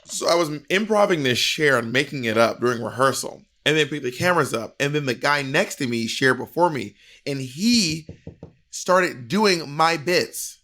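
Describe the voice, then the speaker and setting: An American man in his thirties co-hosts a casual review show. His voice sits fairly high and moves very widely in pitch. He tells stories emphatically, with plenty of swearing. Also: expressive, normal speed, sharp tone. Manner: emphatic, profane. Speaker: podcast co-host. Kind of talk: casual storytelling and review chat. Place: indoor room at home.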